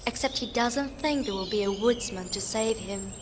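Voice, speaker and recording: A woman's voice: medium pitch, moderate pace, a little hiss or hum, natural voice. A woman playing a wry, matter-of-fact, nitpicking co-narrator in a fairytale audio drama. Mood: wry narration. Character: wry, nitpicking female co-narrator who punctures the mood. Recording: faint forest birdsong behind.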